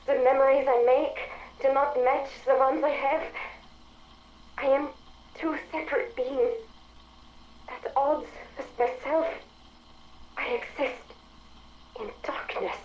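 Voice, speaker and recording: A woman's voice: high-pitched, darkish tone, a little hiss or hum, low-quality recording. An actress playing an articulate female artificial intelligence that longs for a body, speaking precisely and formally in video game audio logs. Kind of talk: dramatic video game audio log acting.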